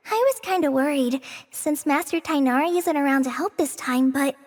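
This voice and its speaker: A woman's voice: high voice, moderate pace, slight background noise, lightly acted. A woman playing a modest, earnest teenage girl ranger with a light, youthful, hesitant and polite delivery in an anime game dub. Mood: relieved, friendly. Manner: hesitant, self-effacing, polite. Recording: music playing behind the voice.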